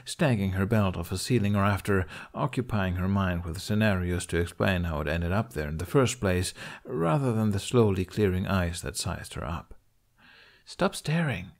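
A man's voice: lowish voice, creaky. A man reading scripted fiction as an audiobook narrator.

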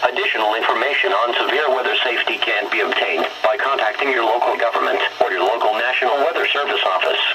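A synthetic man's voice reading a formal automated weather bulletin in a flat, measured way through a radio speaker. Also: medium pitch. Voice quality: synthetic, robotic. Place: played from weather radio on wooden desk. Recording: very noisy weather radio, re-recorded in room.

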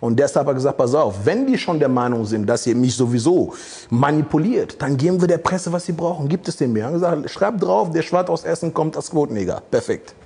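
A man's voice: a little high, animated, fairly quick, gravelly, mellow, slightly rough. A man in his forties from Congo, a guest in a studio interview, talking bluntly with many hesitations on a lapel mic. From Democratic Republic of the Congo. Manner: blunt, provocative, frequent hesitations. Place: studio set with red curtains.

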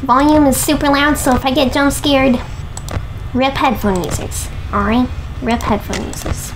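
A woman's voice: sits high, steady tone, moderate pace, background noise. A young woman with a headset, playfully commentating a horror game, chatting to her viewers and teasing the game characters. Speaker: gaming video host.

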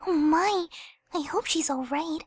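Casting: woman to play timid mother pony, animated radio play, high, soft-spoken, polite, soothing. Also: a little slow, no room sound, studio quality. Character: gentle, timid young mother cartoon pegasus pony.

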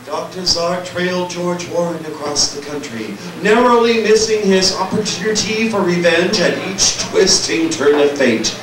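A man's voice: sits high, animated, normal speed, few pauses, background noise. A man playing a lurid, bombastic horror comic narrator, with portentous dramatic emphasis on the scripted story. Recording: very echoey, distant, music behind.

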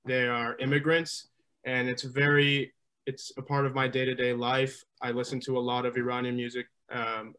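Young man, steady hesitant speech. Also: medium pitch. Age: young adult. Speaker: student panelist. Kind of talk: online panel discussion. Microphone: video call.

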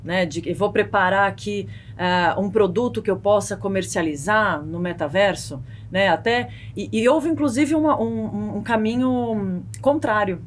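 A Brazilian woman in her thirties talking in a casual panel discussion, explaining things in an animated, expressive way. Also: mid-range voice, moderate pace, hardly any pauses, a little hiss or hum. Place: small padded podcast studio. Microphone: close boom-arm studio microphone.

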